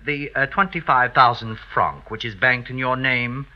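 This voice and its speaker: A man's voice: mid-range voice, normal speed, natural voice. An actor playing a fawning, scheming male servant in a 1950s radio drama, obsequious, hesitant and theatrical. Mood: oily, mock sympathetic. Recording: dull vintage radio recording.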